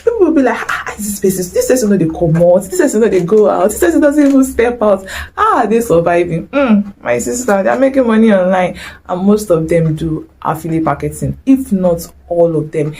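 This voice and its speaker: A woman's voice: mid-range voice, animated, a little fast, darkish tone, slightly rough. A young woman with a Nigerian accent, giving a chatty, exclamatory motivational talk straight to camera and mixing in Nigerian slang. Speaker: vlogger.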